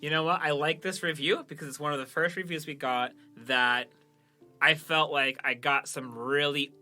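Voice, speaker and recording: A man with a very bright, sharp voice talks casually as a podcast host. He reads reviews and banters in a joking, self-effacing manner. Music plays faintly underneath. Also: fairly high pitch, steady tone, normal speed. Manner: jokey, self-deprecating, laughs often.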